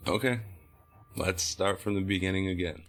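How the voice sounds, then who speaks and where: An actor playing a firm, patient and weary male police detective in an audio drama, questioning in a measured way. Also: deep voice, fairly bright tone, full character voice. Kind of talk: naturalistic audio drama acting.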